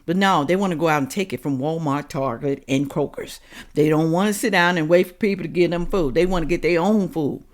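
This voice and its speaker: A woman's voice: low-pitched, a little fast. An American woman giving opinionated, confrontational commentary straight to viewers, blunt and full of rhetorical questions. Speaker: host of a reaction commentary show.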